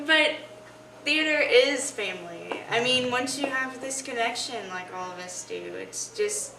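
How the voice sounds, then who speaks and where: A woman's voice: fairly high pitch, lively intonation, unhurried, vocal fry, bright, forceful tone. A teenage girl, a student actor, talking casually and informally in an interview. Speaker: high school student actor. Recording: echoey room, distant mic, faint noise.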